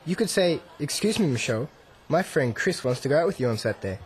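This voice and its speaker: A man's voice: fairly high pitch, lively intonation, fast talker, natural voice. An actor playing a nervous, lovestruck teenage boy in a radio drama, asking anxious, eager questions. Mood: pleading, nervous. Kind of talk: radio drama acting for English learners.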